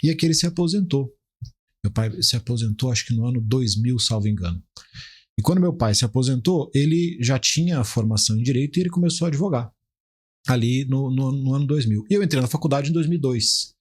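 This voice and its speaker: Brazilian guy in his 40s, mid-pitched and a bit raspy, chatting as a podcast guest. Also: lively intonation, fast. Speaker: podcast guest, criminal defence lawyer. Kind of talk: conversational interview answers.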